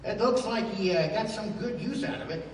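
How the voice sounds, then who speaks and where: A man acting a folksy, rough-and-ready sidekick in a 1930s-style radio play, with a colloquial, blustery way of talking. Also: sits high, normal speed, close to an everyday voice. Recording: echoey hall from far off, faint noise.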